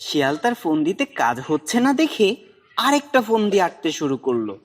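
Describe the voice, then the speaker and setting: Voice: medium pitch, very animated, brisk. A narrator reading a scripted children's animal story for a cartoon.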